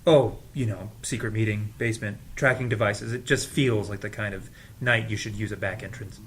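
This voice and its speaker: A man's voice: fairly low pitch, steady tone, moderate pace, a bit bright, close to an everyday voice. A man playing a wary, curious newcomer in an audio drama read-through, casual and questioning. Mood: guarded, casual.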